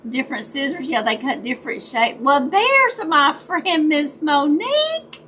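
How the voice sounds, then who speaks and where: A woman with a raspy voice, chatting casually with her viewers during a crafting livestream and greeting them by name. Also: high-pitched, very animated, unhurried, pushed and bright, slight background noise. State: raspy voice, dry throat. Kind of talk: casual chat while crafting.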